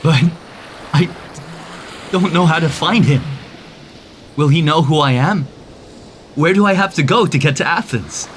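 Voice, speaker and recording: A young man voicing a brave, headstrong young male hero in an animated story, speaking earnestly and proudly. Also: a little high, measured pace, long pauses, slight background noise, lightly acted. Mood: reluctant. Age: young adult.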